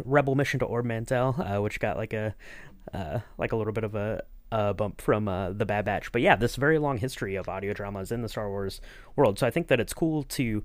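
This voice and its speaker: Low-voiced man hosting a casual podcast. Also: no room sound. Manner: rambling, enthusiastic, peppered with "you know". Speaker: podcast co-host acting as interviewer.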